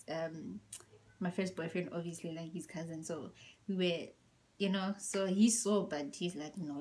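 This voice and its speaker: Young woman, casual storyteller, lowish deep voice, whispers her asides, a bit tired. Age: young adult. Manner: animated, acts out quotes, whispers asides. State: tired from a workout. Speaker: vlogger.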